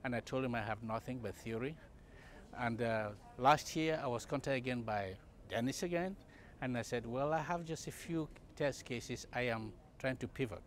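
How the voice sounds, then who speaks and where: A man's voice: mid-range voice, normal speed. A man from Cameroon answering interview questions at a conference, with frequent fillers and restarts. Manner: hesitant, many fillers and restarts. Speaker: congress participant, medical company CEO. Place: conference event.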